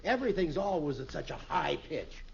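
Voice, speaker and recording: A man playing a genial, flattering middle-aged American businessman in 1950s radio sitcom style, on a muffled recording. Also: a little high, a little fast, vocal fry, slight background noise. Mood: jovial admiring. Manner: effusive, jovial.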